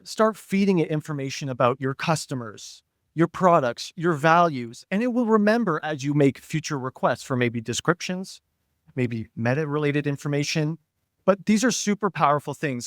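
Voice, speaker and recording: Male panel moderator, higher pitch, dry close sound, explains conversationally and talks straight to listeners. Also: no echo. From Toronto, Canada. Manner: conversational, explanatory, addresses audience directly.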